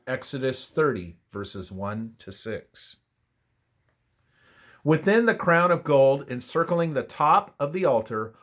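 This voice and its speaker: Male reader for scripted devotional narration, mid-pitched, measured and reverent delivery. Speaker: reader of a religious study.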